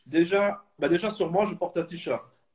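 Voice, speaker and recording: A man's voice: high-pitched, normal speed, darkish tone. A man in his thirties from Belgium, talking casually as a guest in an online interview, hesitant with many fillers and restarts. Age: 30s. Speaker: supporters club guest. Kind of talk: casual video call interview.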